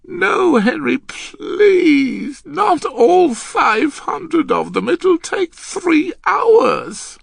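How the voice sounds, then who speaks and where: Man putting on a high, pleading, exhausted young woman. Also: very animated, extremely slow, gravelly, hardly any pauses, no room sound, full character voice. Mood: pleading, tearful.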